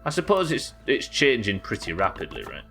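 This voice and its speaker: A man's voice: medium pitch, sing-song, a little fast, gravelly, fairly bright tone, faint music behind. A young British man hosting a live gaming stream, casually rambling and reading chat messages aloud as he plays. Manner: rambling, reads chat messages aloud and muses over them. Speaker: streamer host.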